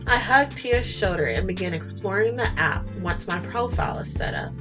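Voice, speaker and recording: A woman narrating a first-person romance story in an expressive, flirty and sassy way. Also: medium pitch, normal speed. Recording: music bed under the voice. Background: music.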